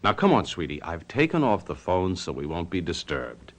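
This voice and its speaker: A man's voice: low voice, very animated, moderate pace. An actor playing a scheming, coaxing husband in a radio mystery, theatrical in his delivery, on a noisy recording. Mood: falsely tender. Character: smooth-talking scheming husband, coaxing, secretly murderous. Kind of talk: old-time radio mystery acting and narration.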